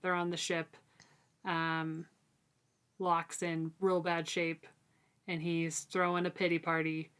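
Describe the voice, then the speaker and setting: A woman's voice: fairly low pitch, level tone, slow pace, long pauses, a bit bright, smooth. A woman hosting a podcast, talking through a book in an informal, thoughtful way. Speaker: podcast host. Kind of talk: casual book discussion.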